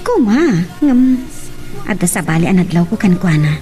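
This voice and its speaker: A woman's voice: lowish voice, very animated, normal speed, mellow, soft background music. A woman with a Filipino accent acting an outspoken, aggrieved woman in a family radio drama. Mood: troubled, confiding.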